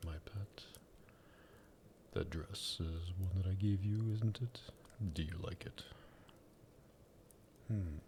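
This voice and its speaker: A man playing a sadistic, possessive vampire master in an ASMR roleplay, teasing and politely menacing, with a breathy, gravelly voice. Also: deep voice, background noise, full character voice. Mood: seductive, possessive.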